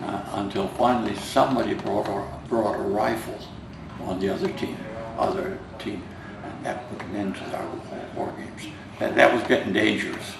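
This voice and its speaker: An older man with a Finnish-American accent, Finnish his first tongue, telling stories in an oral history interview. His voice is lowish and gravelly, full of fillers and restarts. The tape is distant and roomy, with clear noise underneath. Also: steady tone, moderate pace. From United States. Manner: rambling and hesitant, many fillers and restarts. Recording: roomy, distant, noisy home recording.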